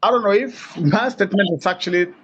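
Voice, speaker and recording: A man from Africa speaks through a muffled, lo-fi call line, his voice sitting a little high. He argues slowly in a lecturing way, stopping often to restart his sentences. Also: a bit bright, a little hiss or hum. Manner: hesitant, many fillers and restarts. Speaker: caller. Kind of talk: halting lecture-like argument.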